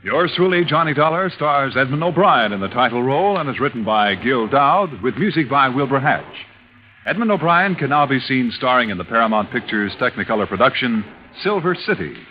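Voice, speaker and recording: A man announcing the credits of a 1950s radio show in a formal, measured tone. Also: medium pitch, expressive, soft background music. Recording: muffled old radio recording.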